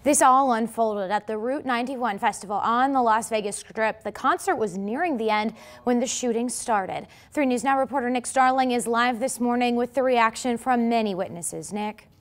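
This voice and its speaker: A woman's voice: on the high side, moderate pace. A woman anchoring a morning newscast from a script.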